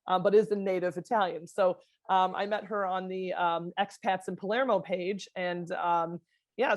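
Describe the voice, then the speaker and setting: A woman's voice: medium pitch, no echo. A woman hosting an online meetup, enthusiastic and full of fillers as she introduces the next guest. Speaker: host.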